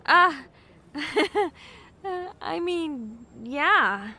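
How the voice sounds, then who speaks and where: An actress playing an anxious, apologetic young bookshop clerk in an audio drama, speaking casually and hesitantly. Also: female voice, high-pitched, animated, very slow, pushed and bright, full character voice. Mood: flustered. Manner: hesitant, self-deprecating, casual. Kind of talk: naturalistic audio drama acting.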